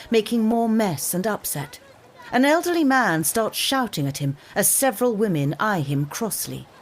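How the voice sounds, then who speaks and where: A woman's voice: mid-range voice, slight background noise. A woman reading stage directions as scripted narration. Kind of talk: scripted narration of a screen musical.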